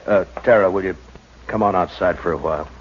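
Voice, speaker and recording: An actor playing a calm, steady frontier marshal who also narrates in a measured, gentle way, in a 1950s radio drama. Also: male voice, sits low, close to an everyday voice. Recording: muffled old radio recording with loud hiss.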